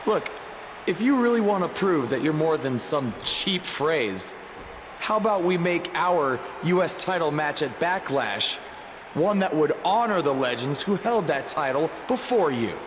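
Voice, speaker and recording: An eager young pro wrestler makes a showy, emphatic promo in a video game. The voice is fairly high and very animated, echoing through a noisy hall. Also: male voice, measured pace. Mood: challenging. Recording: echoey arena hall, noisy ambience.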